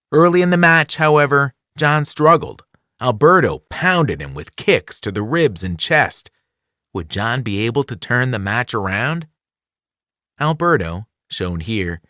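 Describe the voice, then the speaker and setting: A man reading a children's nonfiction book aloud as scripted narration, enunciating clearly with a dramatic storytelling delivery. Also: mid-range voice, fairly bright tone, dry recording, studio quality. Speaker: audiobook reader.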